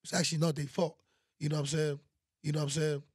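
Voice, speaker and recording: A man from Nigeria, a guest musician, telling animated stories in a casual interview and often saying "you know what I'm saying". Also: on the high side, quick pace, husky, dry recording, studio quality.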